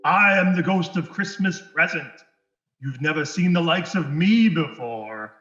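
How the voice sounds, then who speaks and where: A jolly giant Christmas spirit with hearty command. The high, forceful voice declaims slowly. It rings in an echoey room with a slightly muffled sound. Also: male voice, expressive, slight background noise. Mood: jovial, commanding. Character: jolly, booming giant male Ghost of Christmas Present. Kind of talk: dramatic reading of a Victorian Christmas story.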